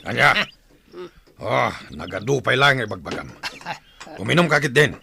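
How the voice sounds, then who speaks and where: A male actor as a war-hungry chieftain in a radio drama. His voice is gravelly and sharply bright, swinging widely as he orders people about. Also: medium pitch, very animated, moderate pace, a little hiss or hum, heavily acted. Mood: gruff, confident. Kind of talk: Ilocano radio drama acting.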